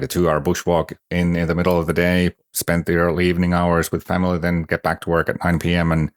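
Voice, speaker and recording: Very deep-voiced Finnish man, dry. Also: no echo. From Finland. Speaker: guest. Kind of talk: podcast interview answers.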